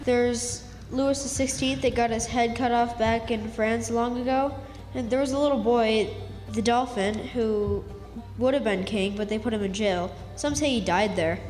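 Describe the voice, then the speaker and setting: A woman's voice: a little high, normal speed, darkish tone, natural voice. A woman playing a plucky know-it-all country boy in a radio play, with a folksy backwoods delivery. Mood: explaining, sure. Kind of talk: stage radio drama acting. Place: live stage in a hall. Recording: echoey hall, music behind.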